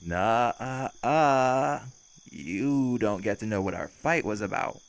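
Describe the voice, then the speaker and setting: A man's voice: fairly low pitch, lively intonation, moderate pace, gravelly. A man playing a cheerful, cocky vampire who teases, flirts and casually threatens in an intimate audio roleplay. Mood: flirty, smug.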